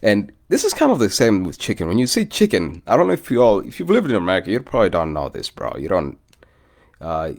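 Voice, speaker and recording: A man's voice: on the low side, huge pitch swings, fast, darkish tone. A man with a Kenyan accent, chatting loosely to his viewers as a vlogger and often calling them "bro". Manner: loose and chatty, often says "bro", greets live commenters. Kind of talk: casual rambling chat to viewers.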